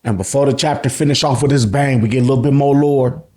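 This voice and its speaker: An American man giving an excited, slangy fan recap, emphatic and repeating phrases for effect, with casual swearing. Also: mid-range voice, fast talker. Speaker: host of an anime and manga review channel.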